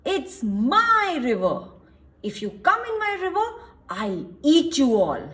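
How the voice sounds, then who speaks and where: A woman voicing a selfish, bullying male crocodile in a children's storybook, with loud, menacing, emphatic shouts. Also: high voice, huge pitch swings, slow pace, exaggerated voice. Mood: angry, possessive. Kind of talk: children's storybook read-aloud.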